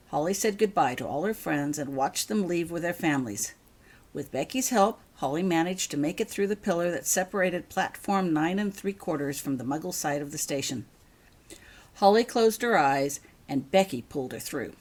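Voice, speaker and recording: A woman reading scripted audiobook narration of a story as its author. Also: lowish voice. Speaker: author reading their own fan fiction.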